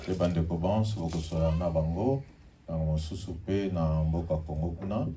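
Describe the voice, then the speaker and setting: A man's voice: low voice, fairly even intonation, moderate pace, warm tone. A man giving formal church announcements and leading call-and-response during a service. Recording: distant mic with faint noise.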